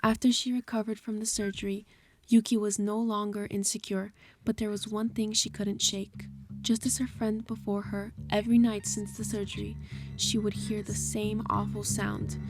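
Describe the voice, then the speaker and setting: A woman narrates a scripted horror tale. Her delivery is measured and stays quite even in pitch. Music runs clearly underneath her voice. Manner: measured, storytelling.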